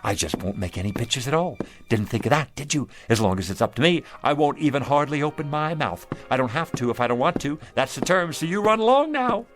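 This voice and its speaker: An actor playing a humble but wily down-on-his-luck street pitchman in a radio drama, talking in a folksy, earnest manner. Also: a little fast, few pauses, lightly acted. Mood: sly, triumphant. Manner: folksy, earnest, expressive talk.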